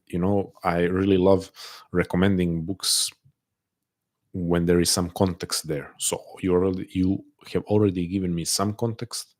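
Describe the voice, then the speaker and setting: A man with a non-native English accent, often taken for Russian, hosting a casual live chat and answering viewers; soft-spoken and hesitant, with many restarts. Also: low voice. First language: not English. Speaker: livestream host answering chat.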